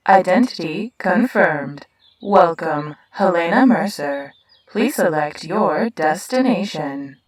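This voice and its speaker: Woman doing a building security computer, super slow flat announcements, impersonal sci-fi drama voice. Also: fairly low pitch. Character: impassive automated building security computer, female voice.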